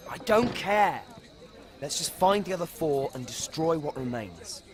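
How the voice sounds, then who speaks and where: A man's voice: on the high side, big pitch swings, measured pace. A man playing a brooding, determined teenage wizard hero in a video game. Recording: loud game music behind.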